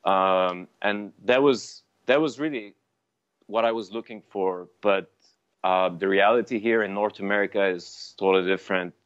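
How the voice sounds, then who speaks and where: A man whose first language is Armenian, a sommelier chatting in an interview, halting with many fillers and restarts. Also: on the low side, smooth. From Lebanon. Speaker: sommelier guest. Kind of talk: casual interview chat. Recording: dull, dry lo-fi sound.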